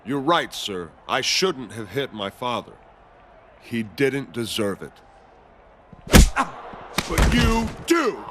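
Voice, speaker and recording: A man playing an arrogant, menacing wrestling champion in a video game, cocky, boastful and deliberate. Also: medium pitch, big pitch swings, background noise. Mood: mock contrite.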